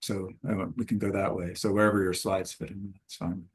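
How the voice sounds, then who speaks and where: A man, a poet and professor, explaining informally before a recital with halting, self-correcting phrasing. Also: sits low, level tone.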